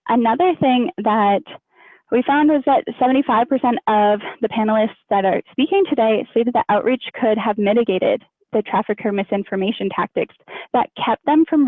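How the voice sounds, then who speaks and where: A woman with a fairly high voice moderates an online panel. She picks her words carefully, with halts and repeats. The sound is narrow and phone-like, with very little room around it. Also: dry recording. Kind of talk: introducing an online panel discussion. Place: online video webinar. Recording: thin phone-like online call audio.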